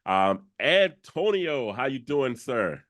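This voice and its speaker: A man's voice: mid-range voice, very animated, takes it slowly, fairly bright tone, no echo. A young adult man hosting a podcast, upbeat and enthusiastic, chatting casually with his guest. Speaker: podcast host. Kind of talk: casual interview chat.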